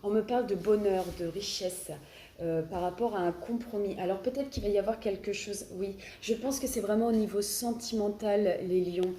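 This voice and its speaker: A woman's voice: mid-range voice, normal speed, dark tone. A woman with a French accent and a cold, giving a casual tarot reading, often hesitating and searching for words. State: has a cold. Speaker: tarot card reader. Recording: echoey room, faint background noise.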